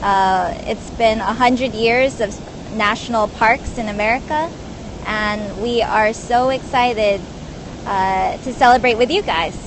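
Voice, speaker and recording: A woman's voice: on the high side, slow pace. A woman from Hawaii working as a park ranger, chatting upbeat and casually on a live stream from an outdoor viewing platform, with frequent uh fillers. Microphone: phone live-stream. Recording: outdoor noise behind the voice.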